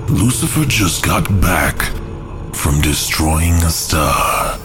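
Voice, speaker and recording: Very deep, brooding male demon played by an actor. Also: lively intonation, exaggerated voice. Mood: brooding, persuasive. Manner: slow, deliberate, grave. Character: brooding male demon, scheming, discontented rebel angel. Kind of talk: fantasy audio drama acting. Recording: music under the voice. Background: dramatic music.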